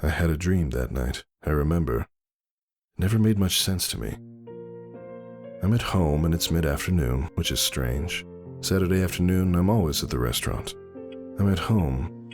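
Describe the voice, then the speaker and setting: Somber, suspicious pony husband, read by a man, deep-voiced dramatic first-person storytelling over music. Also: lively intonation, moderate pace. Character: brooding male pony husband, anxious and suspicious. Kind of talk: dramatic audiobook narration.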